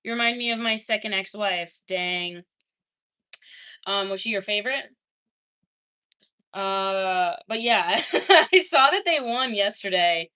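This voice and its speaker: A young adult woman streaming, casually chatting with her viewers and reading their messages, laughing often and swinging between excited and quiet. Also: mid-range voice, slow, long pauses, smooth. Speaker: livestreamer reading chat. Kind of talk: casual livestream chat.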